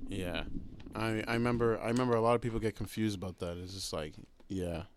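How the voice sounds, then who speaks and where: A man's voice: on the low side, quick pace. A young American man talking casually as a podcast guest, with many fillers like "like" and "you know". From United States. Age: young adult. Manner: laid-back, heavy use of "like" and "you know". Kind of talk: casual conversation.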